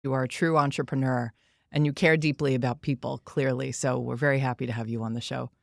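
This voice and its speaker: A woman's voice: rumbling low, fairly even intonation, fast talker, creaky, dry recording, clean studio sound. A woman speaking as a podcast host, reading announcements and interviewing a guest. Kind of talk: scripted podcast intro and interview.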